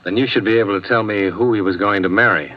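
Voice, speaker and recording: An actor playing a blunt, wry insurance investigator who presses people tersely, in 1950s radio drama style. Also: male voice, on the low side, quick pace, lightly acted. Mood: probing, insistent. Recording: dull old radio recording.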